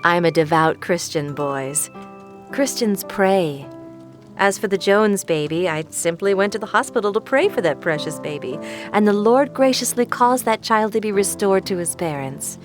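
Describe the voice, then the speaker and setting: An actress playing an amused, kindly, devout neighbor woman in an audio drama, expressive and patient. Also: medium pitch, moderate pace, soft background music, natural voice. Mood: gentle, sincere.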